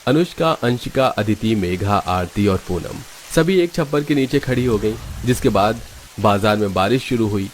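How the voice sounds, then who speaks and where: A man's voice: lowish voice, expressive, normal speed. A man reading scripted narration for a cartoon family story. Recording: faint background noise.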